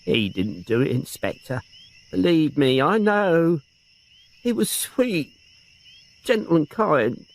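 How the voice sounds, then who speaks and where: A woman's voice: low-pitched, very animated, measured pace, voice pushed up, heavily acted. A man voicing a grieving elderly woman in her seventies, tremulous and protective of her nephew. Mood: pleading. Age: 70s. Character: grieving elderly aunt, frail and tearful.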